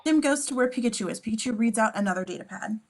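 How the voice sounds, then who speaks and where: A woman's voice: a little high, lively intonation, quick pace. A woman narrating as scene description reader, reading screenplay stage directions aloud at a table read.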